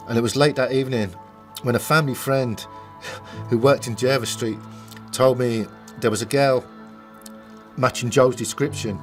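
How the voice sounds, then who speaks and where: A middle-aged man with an Irish accent gives a personal testimony as a bereaved relative, solemn and sometimes halting. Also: mid-range voice. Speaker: bereaved brother giving a family statement. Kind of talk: personal testimony, partly recalled and partly read.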